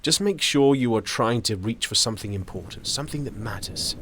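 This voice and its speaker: An actor playing a genial, philosophical middle-aged gentleman in an audio drama, speaking with measured, musing pauses. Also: mid-range voice, lively intonation, noisy background, lightly acted. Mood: earnest, encouraging. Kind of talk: theatrical audio drama acting.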